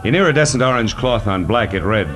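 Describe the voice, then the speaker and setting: An actor playing a wisecracking, world-weary private detective in 1940s radio noir style, wry and clipped. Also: male voice, mid-range voice, animated, fast, lightly acted. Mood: wry narration. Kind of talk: 1940s radio noir acting and first-person narration. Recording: vintage 1940s radio recording, music behind.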